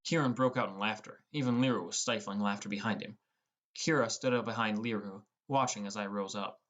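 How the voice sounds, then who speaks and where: A man's voice: on the low side, brisk, a bit bright, dull audio. A young man reading scripted first-person narration as an audiobook narrator.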